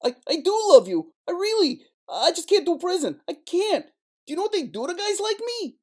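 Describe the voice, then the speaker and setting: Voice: extremely high pitch, sing-song, moderate pace, darkish tone. An actor playing a whiny, cowardly male piano player in an audio drama, melodramatic and prone to stammering. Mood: panicked, pleading.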